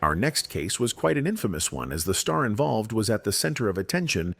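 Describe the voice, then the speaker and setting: A man's voice: on the low side, brisk, vocal fry, husky. A man narrating a true-crime video in a scripted, matter-of-fact way. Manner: even, matter-of-fact storytelling.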